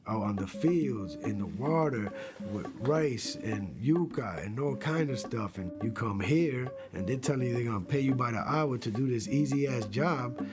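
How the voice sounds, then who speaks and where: A man's voice: mid-range voice, normal speed, over music. A man from Rhode Island who was in a rap group, speaking animatedly in a documentary interview. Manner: animated, conversational.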